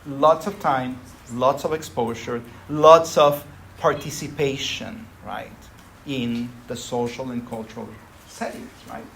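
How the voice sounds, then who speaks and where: A man giving a university lecture in a conversational way, often checking in with his listeners. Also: a little high. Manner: conversational, frequent tag "right?".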